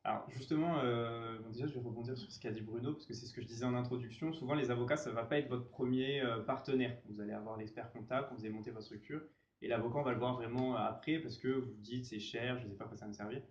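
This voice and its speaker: A man's voice: medium pitch, steady tone, fast, a little hiss or hum. A man, a labor lawyer, explaining rules patiently to an audience with example after example. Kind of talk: explanatory panel talk. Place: conference room. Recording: echoey room, dull mic.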